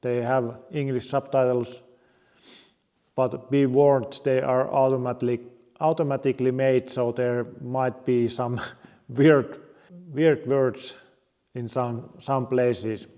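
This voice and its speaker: A man from Finland with a Finnish accent, speaking English as a second language, presenting his car project to camera in a plain, halting way. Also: medium pitch, level tone, warm tone, in a large hall. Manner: unhurried, plain-spoken, halting non-native English. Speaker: car restoration vlogger. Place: home garage.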